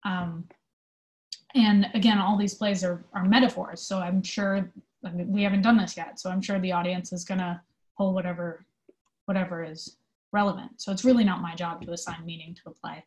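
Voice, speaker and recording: A woman answering interview questions in an informal, conversational way, explaining her work thoughtfully with pauses. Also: lowish voice, fairly even intonation, a little mellow. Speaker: theatre company manager being interviewed.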